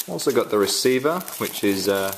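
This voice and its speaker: An Australian man giving a casual unboxing walkthrough at home, halting and full of ums and restarts. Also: lowish voice, background noise. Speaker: tech reviewer. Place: indoors at home, filming the box up close.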